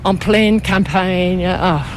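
An older woman from Canada, a native English speaker with an English Canadian accent, answering interview questions in halting second-language speech. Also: fairly low pitch, steady tone, faint music behind. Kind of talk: casual conversational interview.

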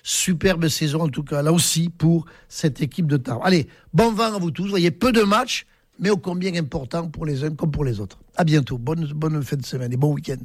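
A man's voice: on the high side, normal speed. A man presenting a local sports segment on radio, enthusiastic with occasional hesitations.

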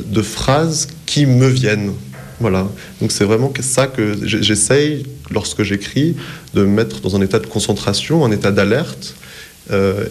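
A man in his forties from Paris, an author answering interview questions thoughtfully, often hesitating and restarting his sentences. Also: fairly low pitch. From France, Paris. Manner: hesitant, searching for words with many restarts.

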